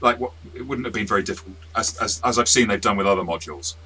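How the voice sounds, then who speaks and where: A man with a low voice and a very bright, tense edge talks casually through a review as co-host. He often restarts and drops in asides. There is faint noise behind him. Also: fast. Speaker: tabletop game master and review show co-host.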